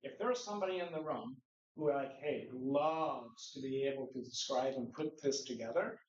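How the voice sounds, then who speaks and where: A man's voice: mid-range voice, normal speed. Man presenting in an echoey room. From Norway. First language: Norwegian. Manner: conversational lecturing with rhetorical questions. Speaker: conference presenter. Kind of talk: conference presentation. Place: conference room. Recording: echoey room, distant mic, dull sound.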